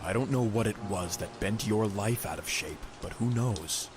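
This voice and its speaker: A man voicing a grim, weary masked vigilante in a comic dub, speaking in a measured, stern, earnest way. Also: on the low side, noisy background, natural voice. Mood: grave appeal. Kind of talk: dramatic comic dub voice acting.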